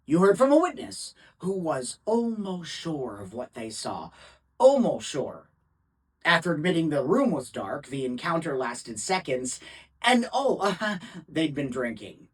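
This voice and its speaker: Guy playing a defense lawyer working a jury, emphatic w/ big pauses, raspy, bit far from the mic. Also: on the high side, very animated, exaggerated voice. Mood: skeptical, wry. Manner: emphatic, deliberate rhetorical pauses and repetition. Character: impassioned male defense lawyer addressing a jury. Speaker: actor performing a monologue. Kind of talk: dramatic courtroom closing-argument monologue.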